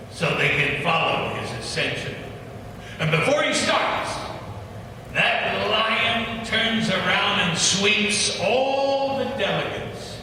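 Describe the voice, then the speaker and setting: A man telling a historical story in a theatrical, measured style, performing in a large church hall. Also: a little high, gravelly, pushed and bright, slight background noise. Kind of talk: dramatic historical narration. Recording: echoey church hall from far off.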